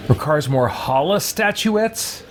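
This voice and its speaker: A man's voice: a little high, lively intonation, takes it slowly. A man giving casual let's play commentary, thinking aloud with playful exasperation. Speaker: gaming channel host. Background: game music behind the voice.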